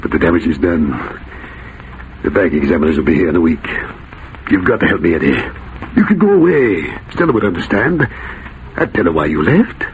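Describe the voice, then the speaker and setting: A low, gravelly, guilt-ridden old banker. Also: male voice, big pitch swings. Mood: pleading. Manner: halting, pleading. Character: guilt-ridden older banker, pleading, weak-willed family patriarch. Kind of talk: 1940s radio drama acting. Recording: muffled, noisy 1940s radio recording.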